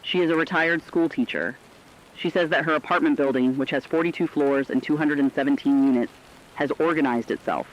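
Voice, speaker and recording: A man reading a scripted, reflective monologue as a radio host, solemn, earnest and deliberate. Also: fairly high pitch. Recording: dull, band-limited radio-like sound.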